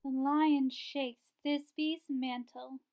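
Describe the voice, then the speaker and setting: A woman's voice: sits high, level tone, drawn-out words, a little mellow. A woman reading stage directions as scripted narration for an amateur table read, recorded over a video call. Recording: distant-sounding home video call.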